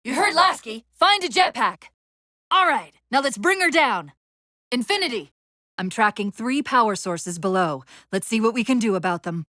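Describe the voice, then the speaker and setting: A woman voicing a video game's female AI companion, an urgent, wry military guide with crisp, technical, commanding delivery. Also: fairly high pitch, animated, normal speed, pushed and bright, no room sound, studio quality. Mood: brisk, commanding. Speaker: video game voice actor. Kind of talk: video game dialogue, tactical callouts.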